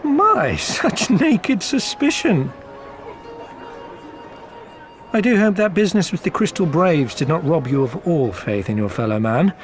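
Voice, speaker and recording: Florid merchant agent for a video game: courteous to excess, roundabout, very lively intonation. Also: male voice, on the high side, moderate pace, faint music behind. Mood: playful, teasing. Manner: florid, circuitous, unctuously polite. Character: smooth-talking, theatrically courteous male merchant and company agent. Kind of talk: scripted video game character dialogue.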